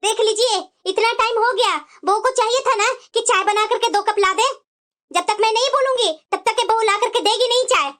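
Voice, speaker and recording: Casting: woman to play a very high-voiced cartoon mother-in-law, middle-aged, bitter, bossy, forever complaining. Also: a little fast, fairly bright tone. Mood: grumbling, aggrieved. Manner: scolding, complaining, dramatic. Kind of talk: Hindi family cartoon dialogue.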